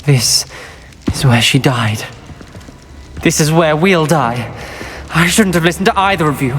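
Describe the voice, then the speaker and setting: Formal, wary young British wizard. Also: male voice, a little high, lively intonation, music in the background. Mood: troubled, bitter. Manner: formal, articulate, measured. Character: serious, wary teenage wizard boy from old family. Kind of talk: dramatic video game voice acting. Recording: clear background ambience noise.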